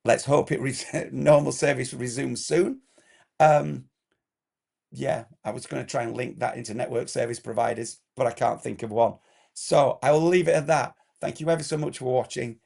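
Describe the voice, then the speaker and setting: A man with a hoarse, croaky throat gives a direct instructional explainer as a compliance consultant, firing off checklist questions. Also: medium pitch, fairly even intonation, normal speed, darkish tone.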